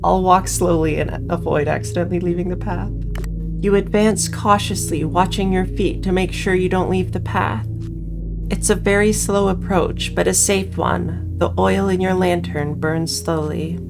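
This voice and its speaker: A woman's voice: lowish voice, steady tone, normal speed, creaky. A woman reading a visual novel aloud and reacting as she plays, with faint music behind her. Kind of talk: narrating and reacting during game playthrough.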